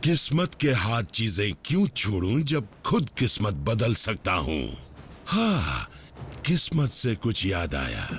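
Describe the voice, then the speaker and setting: A man's voice: fairly low pitch, huge pitch swings, slow pace, noisy background, exaggerated voice. A man voicing a menacing, gloating robot warlord in a dubbed cartoon, with a drawling, commanding, sneering delivery.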